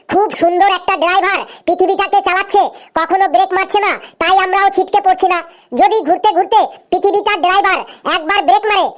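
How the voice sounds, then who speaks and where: A woman voicing an earnest, naive young pupil in a comedy cartoon, as if reciting an answer. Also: extremely high pitch. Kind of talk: comic cartoon dubbing.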